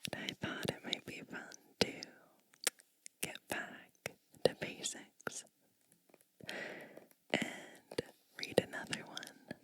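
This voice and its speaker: A woman speaks entirely in a whisper, crisp and bright in tone. She takes her time as an ASMR storybook host, moving very slowly and leaving gaps between short phrases. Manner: hushed, unhurried, pausing between short phrases.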